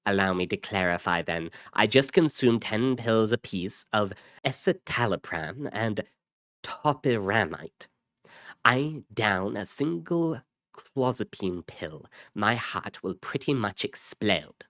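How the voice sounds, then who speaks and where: A man voicing an apathetic, darkly flippant psychopath with a deadpan, taunting manner in an audio story. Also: deep voice, takes it slowly, few pauses, smooth, dry recording, studio quality, full character voice.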